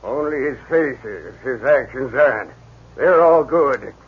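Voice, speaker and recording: Raspy folksy old west sheriff, drawly, on a tinny old radio play. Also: male voice, mid-range voice, animated, a little slow. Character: folksy frontier sheriff, middle-aged, easygoing. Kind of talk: 1950s radio drama acting.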